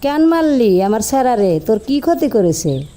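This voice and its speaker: A woman's voice: fairly high pitch, sing-song, normal speed, mellow. A woman playing a bitter, accusing old grandmother in a cartoon, confrontational, with a rural Bangladeshi accent.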